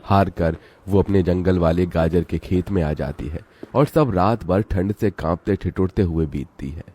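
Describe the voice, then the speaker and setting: A man narrating a scripted cartoon moral story in a dramatic, expressive storytelling style. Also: low-pitched, measured pace, slightly rough.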